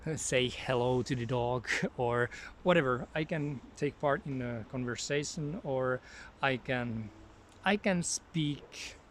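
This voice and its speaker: Finnish-accented man, relaxed vlog talk in a forest, wandering train of thought, faint outdoor sounds. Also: medium pitch, a little hiss or hum. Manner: rambling, thinks aloud, easily sidetracked. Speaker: language learner vlogger. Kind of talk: casual talk to camera.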